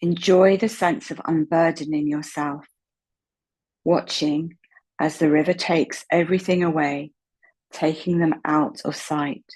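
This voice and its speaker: A woman leading a slow guided meditation in a soft, breathy voice, calm and unhurried, with long pauses. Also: low-pitched, rough recording. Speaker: guided relaxation meditation instructor.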